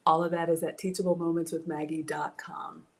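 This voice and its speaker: A woman's voice: sits low, little pitch movement, brisk. A woman born in Ghana and raised in the American South, hosting a casual live cooking stream from her home kitchen, chatting with viewers and reading their comments aloud. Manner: chatty and warm with viewers, thanks supporters, says "y'all". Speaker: cooking livestream host.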